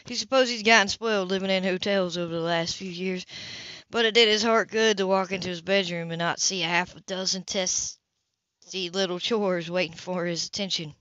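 A woman's voice: fairly low pitch, fairly even intonation, fairly quick, fairly bright tone, no echo. A woman reading a novel aloud as an amateur, in a homespun way with the odd stumble over words. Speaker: amateur audiobook reader. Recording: dull lo-fi home recording.

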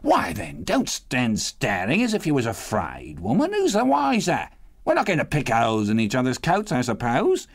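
A man reading aloud, voicing a bold, coarse old charwoman who talks in a shrill, brash way. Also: wildly swooping pitch, moderate pace, creaky, full character voice. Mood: brazen.

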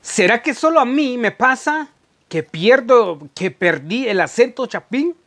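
A middle-aged man, chatting casually to camera in a halting, repetitive way as a vlogger. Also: very high-pitched, animated, fairly bright tone. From Guatemala. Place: indoor room at home.

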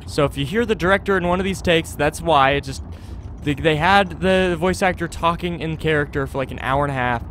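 A man's voice: on the high side, a little fast, fairly bright tone, slight background noise. A young man hosting a casual game playthrough with wry, joking, exaggerated exasperation, speaking into a close microphone. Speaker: gaming video host. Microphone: close USB desktop microphone.

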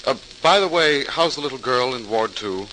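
An actor playing an earnest, inquisitive surgeon of about thirty in an old-time radio drama, polite and thoughtful. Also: male voice, mid-range voice, animated, normal speed, sharp tone, poor audio. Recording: old radio recording, faint hiss.

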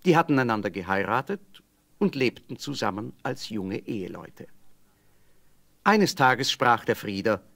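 Animated male fairy-tale narrator. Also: mid-range voice, unhurried, creaky.

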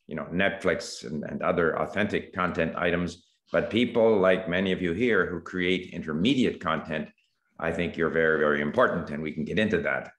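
An older man from Canada talks over a video call at a measured, thoughtful pace. His voice sits very low. The room around him adds a noticeable echo. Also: fairly bright tone. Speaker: panel guest, language creator. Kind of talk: casual video call chat. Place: room at home.

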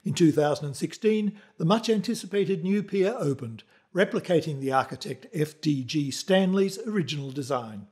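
A man's voice: a little high, animated, normal speed, few pauses, darkish tone. A man reading scripted historical narration for a documentary.

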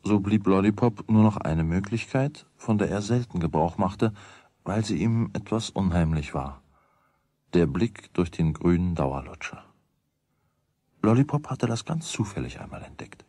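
A man's voice: sits low, animated, a little slow, warm tone, no room sound. A man narrating a children's radio play as its storyteller, in a scripted storytelling style. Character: storyteller of a boy's everyday adventures.

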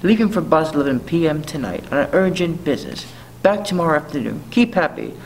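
A man's voice: on the high side, lively intonation, brisk, rough recording. Young man playing a formal telegram clerk. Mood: businesslike. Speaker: amateur actor in a drama. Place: dark stage, indoors. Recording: faint noise and ambience.

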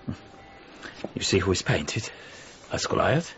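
Low, knowing, worldly cynical man. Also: sing-song, unhurried, fairly bright tone, a little hiss or hum, exaggerated voice. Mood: cynical, confiding. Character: worldly, cynical man who knows the painter's secrets. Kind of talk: naturalistic radio drama dialogue. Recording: slightly dull, faint background ambience.

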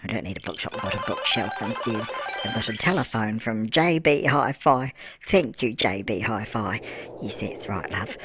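A man's voice: lowish voice, expressive, moderate pace, close to an everyday voice. Nana Beryl, a man putting on a cooing Aussie granny. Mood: doting. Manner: cooing, endearments, answers own questions. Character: chatty doting old granny, fussing and cooing. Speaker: gameplay narrator. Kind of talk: life-sim gameplay commentary in character. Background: music.

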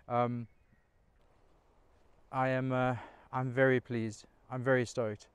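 Excited man camping in a forest. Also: medium pitch, takes it slowly, smooth, no room sound. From Australia. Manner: enthusiastic, chatty, talks straight to camera. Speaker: camping vlogger. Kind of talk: casual solo camping vlog. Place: outdoors in a pine forest campsite. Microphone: camera mic, handheld selfie and tripod framing.

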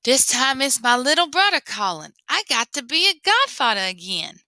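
Make it a cocky little rabbit trickster from a storybook, a woman putting him on, his voice jumping up high and bright, sly and lilting, always a step ahead of everyone. The sound sits close and dry, no room around it. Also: sing-song, moderate pace, no echo, heavily acted.

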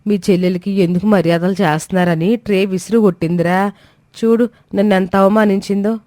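A woman's voice: mid-range voice, steady tone, brisk, dark tone, no echo, studio quality, natural voice. A woman voicing a bossy, cruel older mother-in-law in a dramatised moral story, sneering and commanding. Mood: indignant, accusing. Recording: faint music behind.

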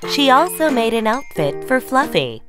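A woman's voice: sits high, sing-song, moderate pace. A woman narrating a children's fairy tale as a scripted storyteller. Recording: music under the voice.

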